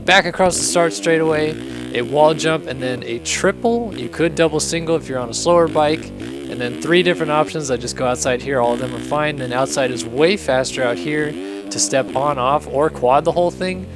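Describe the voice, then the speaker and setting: A young man hosting a casual video walkthrough, his voice hoarse and raspy from having lost it, chatty and upbeat. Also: fairly high pitch, normal speed, music in the background. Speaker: host. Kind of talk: casual game track walkthrough commentary.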